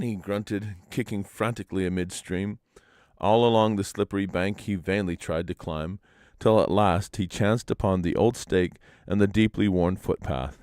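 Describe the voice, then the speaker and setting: A man's voice: low-pitched, darkish tone, dry recording, clean studio sound. A man narrating a traditional legend aloud as a storyteller, in a live reading. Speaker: storyteller and reader.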